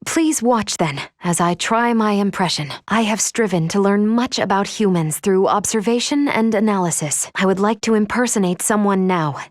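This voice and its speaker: Polite android crew member for a video game, played by a woman: earnest, measured, formal diction, mid-pitched, dry studio sound. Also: no echo, close to an everyday voice. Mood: eager, polite. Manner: formal, precise, measured phrasing.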